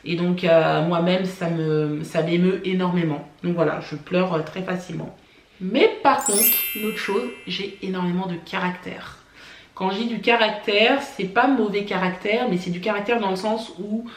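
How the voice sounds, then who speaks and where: A woman born in France, telling personal stories to camera for her family vlog in a chatty way, often pausing on "euh" and "voilà". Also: fairly low pitch, echoey room, a little hiss or hum. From France (born in Paris). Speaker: family vlogger. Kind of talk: casual personal storytelling to camera.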